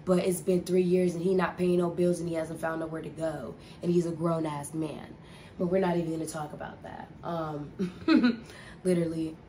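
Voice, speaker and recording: A woman's voice: low-pitched, fairly even intonation, moderate pace, warm tone, a little hiss or hum. A young woman telling a casual storytime, rambling and profane with lots of filler words. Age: young adult. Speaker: vlogger.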